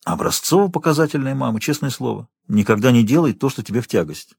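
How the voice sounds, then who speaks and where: A man's voice: mid-range voice, animated, fast talker, gravelly, a little mellow, breathy edge, dry recording. A man reading a first-person literary story as an audiobook narrator, expressively shifting tone as he goes.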